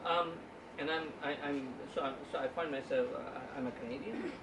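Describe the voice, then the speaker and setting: A man's voice: mid-range voice, a little fast. A man, a statistician, gives an informal talk to a book club and often stumbles and repeats his words. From Uganda. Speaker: guest speaker, statistician. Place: indoor meeting room at a book club. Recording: roomy, distant room recording with background noise.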